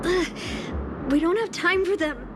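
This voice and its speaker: A woman voicing an earnest, anxious young woman in a video game, speaking in a hesitant, halting way. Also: very high-pitched, noisy background. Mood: urgent, nervous.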